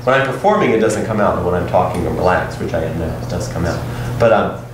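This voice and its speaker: A young man with a Southern accent, a stage actor chatting with students in a relaxed question-and-answer session, telling stories animatedly and laughing often. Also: sits low, fast talker. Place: college hall with audience. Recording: echoey hall from far off, noisy.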